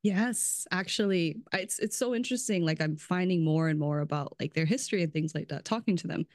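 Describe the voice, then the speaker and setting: A woman's voice: fairly low pitch, a little fast, a little mellow, no room sound. Woman guest, loose chatty delivery peppered with "like," close and dry sound. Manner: chatty, fills speech with "like", laughs often. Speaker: brand strategist guest. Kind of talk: casual podcast chat.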